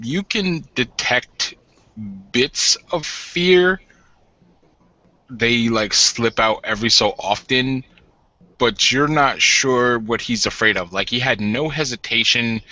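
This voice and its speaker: A man narrates a tabletop game informally, feeling his way through phrases. His voice is fairly low yet very sharp and bright, recorded a little dully. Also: no room sound. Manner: halting, informal. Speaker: game master. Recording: slightly dull home mic.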